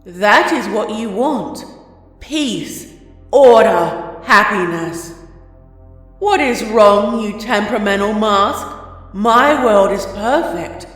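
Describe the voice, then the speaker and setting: Casting: woman as a sneering royal shadow demon, slow and cold, drenched in cavernous reverb. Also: medium pitch, expressive, fairly bright tone, faint music behind. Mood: taunting. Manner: cool, regal, taunting. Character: regal shadow spirit of a sun princess, mocking and cold. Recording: heavy echoing reverb effect.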